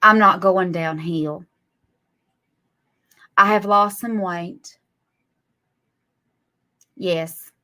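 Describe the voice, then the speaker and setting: A woman's voice: on the low side, fairly even intonation, extremely slow, long pauses, pushed and bright. A woman, scolding and mocking her critics on a live stream in a sarcastic drawl. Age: 40s. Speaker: livestream guest.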